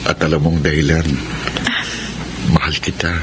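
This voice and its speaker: An actor playing a kind, patient young suitor in a Filipino radio drama, tender and sincere. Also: male voice, rumbling low, monotone, moderate pace, background noise. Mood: tender, earnest.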